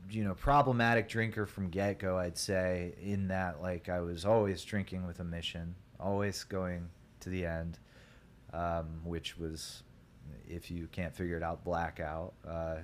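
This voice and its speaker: A young man from Ohio with a deep voice, a podcast guest telling his story in a rambling, laid-back way full of fillers. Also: flat delivery, measured pace, a little hiss or hum. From Columbus, Ohio. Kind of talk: casual podcast chat.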